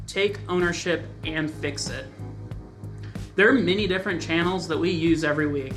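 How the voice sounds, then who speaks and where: Measured man explaining church production gear. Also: high voice. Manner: calm, measured, instructive. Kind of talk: scripted instructional narration.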